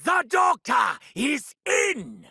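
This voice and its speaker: Shrieking manic mad scientist. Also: male voice, sing-song, extremely slow, vocal fry, sharp tone, shouting, heavily acted. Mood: gleeful taunting. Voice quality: high, strained, theatrical voice. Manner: hammy, taunting exclamations. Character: manic gleeful mad scientist, grandiose and sadistic. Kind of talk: video game character barks. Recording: long hall reverb.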